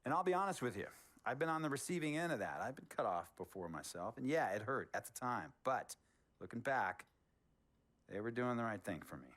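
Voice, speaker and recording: A man playing a blunt, worldly middle-aged team owner, giving measured, authoritative advice in a game drama. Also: medium pitch, big pitch swings, fairly bright tone. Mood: measured, reflective. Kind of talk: dramatic cutscene dialogue.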